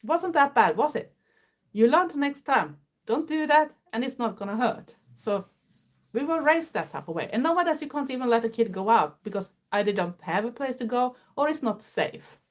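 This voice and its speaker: A woman's voice: a little high, lively intonation, moderate pace. A Swedish woman in her fifties with a Swedish accent, chatting earnestly to her viewers in English with small grammar slips. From Sweden. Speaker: livestream host. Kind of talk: casual chat.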